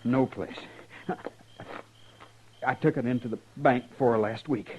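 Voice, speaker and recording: Slow, halting wounded young outlaw played by a man. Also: medium pitch, fairly even intonation, lightly acted. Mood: weary, resigned. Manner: halting, drawling rustic Western speech. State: weak and labored from a gunshot wound. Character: fatally wounded young male outlaw gunman, bitter yet resigned. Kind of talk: old-time radio Western acting. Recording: muffled, noisy old radio recording.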